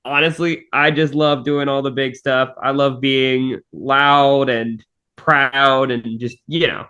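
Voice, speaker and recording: A man's voice: mid-range voice, flat delivery, takes it slowly, bright, forceful tone, clean tone, muffled recording. A man chatting casually on a podcast, emphatic and often repeating his phrases. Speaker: fandub voice actor on a team podcast. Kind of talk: casual chat.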